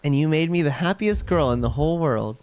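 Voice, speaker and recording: A woman's voice: low voice, lively intonation, fairly quick, darkish tone, pitched below the performer's own voice. A woman voicing a sweet, gushing teenage girl club president from a visual novel game, with an eerie devotion underneath. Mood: eerily devoted.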